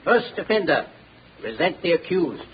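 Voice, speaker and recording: An actor playing a cold, pompous leader of a secret order in a 1930s radio drama, ceremonious and declamatory. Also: male voice, fairly high pitch, sing-song, normal speed. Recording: muffled, hissy 1930s radio recording.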